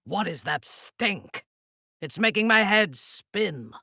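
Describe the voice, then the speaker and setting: Husky, imperious dark elf warrior woman. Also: mid-range voice, expressive, no room sound, clean studio sound, full character voice. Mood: scornful. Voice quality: husky, breathy. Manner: imperious, deliberate, formal diction. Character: haughty, ruthless drow elf warrior woman, commanding and menacing. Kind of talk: video game voice acting, dramatic character lines.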